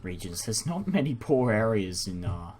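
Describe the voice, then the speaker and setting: A man's voice: lowish voice, very animated, normal speed, darkish tone. A young man, a native English speaker, giving rambling, self-deprecating and apologetic casual commentary as the host of a gaming video, recorded indoors at a computer. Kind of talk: casual unscripted game commentary.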